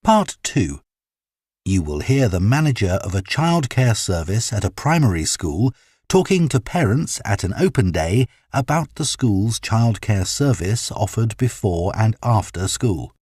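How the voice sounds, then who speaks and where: A man reading formal listening-test instructions in a measured voice. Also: lowish voice, very animated, darkish tone, slightly rough, no room sound, clean studio sound. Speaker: exam narrator. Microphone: studio microphone, dry.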